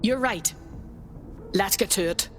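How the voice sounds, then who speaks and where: A woman's voice: mid-range voice, takes it slowly, music in the background, close to an everyday voice. A woman playing a cautious new resistance recruit in a video game, in an everyday voice. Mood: resolved. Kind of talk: scripted video game voice acting.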